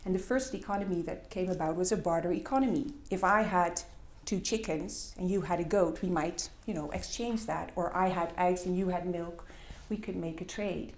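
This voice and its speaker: A woman's voice: fairly low pitch, normal speed, few pauses. A middle-aged woman with a Dutch accent, not speaking her first language, giving a conference talk with slides in a hesitant way, with many fillers. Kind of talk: presentation with slides. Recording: echoey hall.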